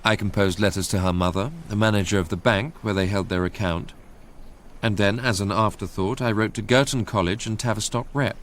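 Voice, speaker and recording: An actor playing an educated, introspective Englishman narrates in a measured, literary way, with an English accent, in a radio drama. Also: fairly low pitch, fairly even intonation, slight background noise, lightly acted. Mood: reflective. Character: educated Englishman recalling his romantic obsession, wistful and introspective.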